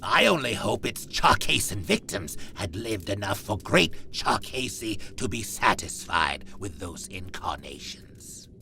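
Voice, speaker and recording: A man playing a proud, zealous reptilian warrior with a gravelly, solemn and haughty delivery in an audio drama. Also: on the low side, sing-song, measured pace, hardly any pauses, sharp tone, slight background noise, full character voice.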